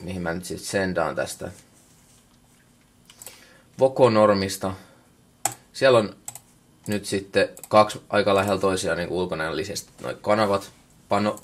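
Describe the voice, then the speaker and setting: A Finnish man giving a casual, unscripted software walkthrough in a colloquial way, muttering asides to himself, recorded on a camera microphone indoors. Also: deep voice, vocal fry. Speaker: music production tutorial maker. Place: indoors at a computer workstation.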